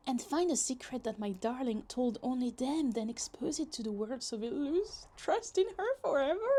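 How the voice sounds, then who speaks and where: A woman acts out a possessive young woman lost in obsessive scheming over her crush. Her voice sits on the high side, with a noticeable creaky fry. She muses to herself in roleplay ASMR style, with breathy sighs and drifts into half-whispers. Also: mellow, heavily acted. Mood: exasperated, plotting.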